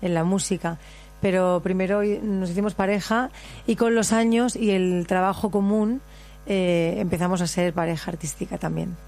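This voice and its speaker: A woman's voice: on the low side, steady tone, normal speed. Flamenco singer, a woman guest. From Barcelona, Spain. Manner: warm, laughs easily, explanatory. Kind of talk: casual radio interview.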